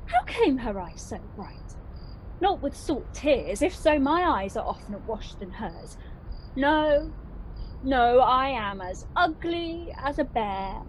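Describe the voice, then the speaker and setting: A woman's voice: high voice, big pitch swings, slow pace, a little hiss or hum, full character voice, poor audio. A woman acting a lovesick, self-pitying young noblewoman in a theatrical Shakespearean radio play. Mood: breathless self-pity. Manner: theatrical, indignant, swooping.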